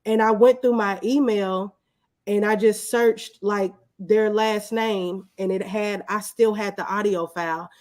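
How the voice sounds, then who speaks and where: A woman's voice: mid-range voice, steady tone, measured pace, darkish tone. A woman in her thirties talking casually as an interview guest, in a candid, humble, reflective way. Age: 30s. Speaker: guest, videographer.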